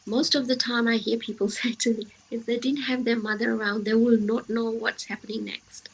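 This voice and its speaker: A woman's voice: fairly high pitch, level tone, normal speed, slight background noise, low-quality recording. A woman giving a motivational talk to young people, speaking emphatically with repeated phrases and rhetorical questions. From grew up in the Western world, of Indian heritage.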